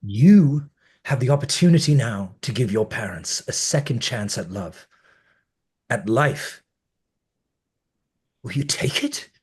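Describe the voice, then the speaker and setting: A man acting a melancholy, dry-witted man who has been dead over a century, in a deliberate, brooding table-read delivery. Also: medium pitch, lively intonation, a little mellow, breathy edge, full character voice. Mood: earnest, intense.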